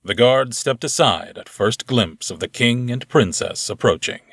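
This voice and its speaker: A man playing a grave, loyal royal guard officer in an audio drama, with a gravelly, husky voice. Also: medium pitch, animated, a little slow, a bit bright, dry recording. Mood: somber narrating. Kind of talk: audio drama acting and first-person narration. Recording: dry close recording under music.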